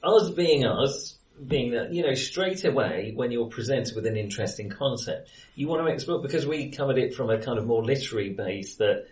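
A man's voice: mid-range voice, expressive, moderate pace, few pauses, darkish tone. A man co-hosting a casual film discussion, thinking aloud in a speculative, questioning way. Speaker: podcast co-host. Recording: roomy, distant from the mic.

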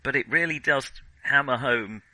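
A man's voice: mid-range voice, moderate pace, bright, forceful tone, rough recording. A man co-hosting a film podcast in casual discussion, meandering and correcting himself as he feels his way through his thoughts. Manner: hesitant, meandering, self-correcting.

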